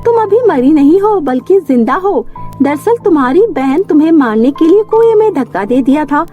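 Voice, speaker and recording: A woman voicing a gentle fairy in an animated fairy tale, speaking in a reassuring, emphatic way. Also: high-pitched, normal speed, phone-quality sound, faint music behind, pitched above the performer's own voice. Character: gentle fairy comforting a crying young woman.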